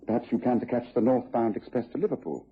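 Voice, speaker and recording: An assured English gentleman detective for vintage radio. He talks quickly and incisively in a very husky voice, over a thin, narrow-band recording. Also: medium pitch, expressive. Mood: courteous. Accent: English Received Pronunciation. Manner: precise, incisive, formal. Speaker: actor playing lead detective in radio drama. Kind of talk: BBC radio drama acting. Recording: muffled tinny old radio recording.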